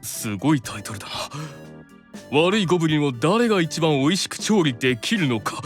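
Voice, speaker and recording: An actor playing a stern, exasperated but doting older brother, commanding and easily flustered, in an anime audio drama. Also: male voice, fairly high pitch, very animated, a little fast, soft background music. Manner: commanding, scolding, flustered when softened.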